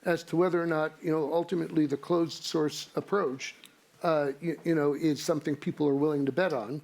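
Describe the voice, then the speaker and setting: A man answering on a conference panel, halting, with many fillers and stuttered restarts. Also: on the high side, fairly even intonation, vocal fry, darkish tone. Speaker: panelist investor.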